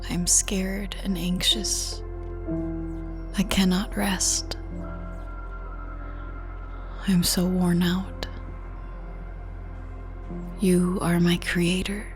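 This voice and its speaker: Woman whispering a prayer super slow, lowish, new-age music under it. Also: little pitch movement. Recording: soft music bed under voice.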